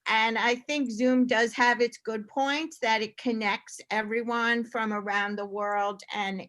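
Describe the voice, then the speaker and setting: Brief: woman on a video call, appreciative co-host, higher voice with a crisp edge. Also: steady tone, unhurried, a bit bright. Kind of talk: casual video-call chat.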